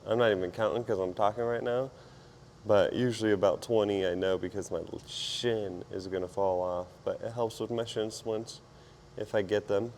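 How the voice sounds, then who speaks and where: A man with a fairly low, hoarse voice chats informally as he works out for a fitness vlog. He rambles in a self-deprecating way between efforts. Clear background noise surrounds his voice. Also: fairly even intonation, moderate pace, darkish tone. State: hoarse, partly lost voice. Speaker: fitness vlogger. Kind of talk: casual workout vlog chat while exercising.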